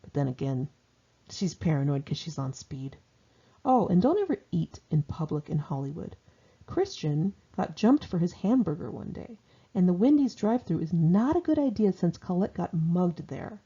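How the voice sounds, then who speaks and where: Casting: woman narrator for a candid diary memoir, lowish husky voice, sardonic and animated in intonation, slightly muffled home recording. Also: normal speed. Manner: wry, sardonic, conversational delivery. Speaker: author reading own memoir aloud. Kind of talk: informal audiobook narration of diary entries.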